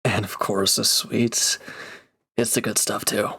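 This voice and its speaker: A man's voice: on the low side, level tone, slow pace, vocal fry, sharp tone, slightly rough, heavily acted. A man playing a reformed villain turned mercenary in an intimate roleplay audio drama, speaking casually and hesitantly with awkward, earnest gratitude. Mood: sheepish, grateful.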